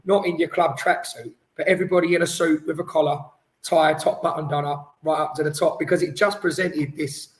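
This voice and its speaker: Lively, rambling male podcast co-host. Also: fairly high pitch, brisk, rough recording. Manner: animated, rambling with restarts. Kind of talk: casual chat. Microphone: headphones on, studio mic.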